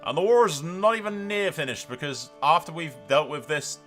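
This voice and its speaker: A man hosting a game playthrough, commentating in an excitable, sweary, bantering way. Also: a little high, normal speed, faint music behind. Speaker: host of a gaming channel. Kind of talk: playthrough commentary with in-character narration.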